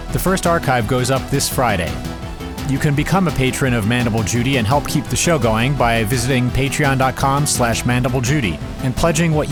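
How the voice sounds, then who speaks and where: Podcast announcer, man, scripted outro and credits read over prominent music. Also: medium pitch. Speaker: podcast announcer and crew member.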